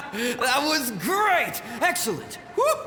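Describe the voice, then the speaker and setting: A man's voice: high-pitched, full character voice. A man voicing a battle-hungry swordmaster's spirit in an anime game, hearty and exclamatory. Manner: hearty, exclamatory, savouring each phrase. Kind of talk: anime video game voice acting. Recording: echoey, faintly noisy game capture.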